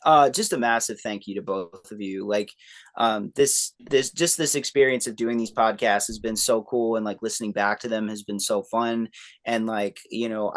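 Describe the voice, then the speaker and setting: A man's voice: fairly low pitch, moderate pace. A man in his thirties, a musician guest, talking casually with many fillers and restarts. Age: 30s. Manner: rambling, self-deprecating, many fillers and restarts. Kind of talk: casual interview chat.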